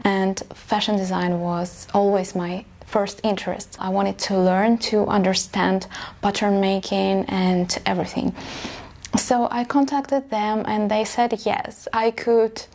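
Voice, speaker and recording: Gravelly-voiced woman, native Russian speaker. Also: medium pitch, darkish tone. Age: late 30s. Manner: earnest, reflective, with small grammar slips. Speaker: vlogger and model coach. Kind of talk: personal motivational storytelling.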